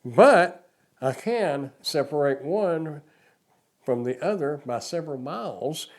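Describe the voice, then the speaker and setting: A man's voice: a little high, lively intonation, slow. Older man giving a casual product demo. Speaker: product reviewer. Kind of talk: casual hands-on product review.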